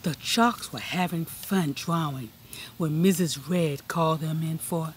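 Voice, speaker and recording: A woman reading a children's picture book aloud, expressively and with deliberate emphasis. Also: sits low, a little hiss or hum. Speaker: storybook reader. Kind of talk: children's read-aloud narration.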